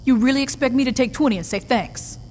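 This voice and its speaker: A woman's voice: sits high, fast talker, darkish tone, close to an everyday voice. An actress playing a tough, cold female gang leader in a video game, speaking bluntly and with threats. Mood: defiant. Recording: game audio with background noise.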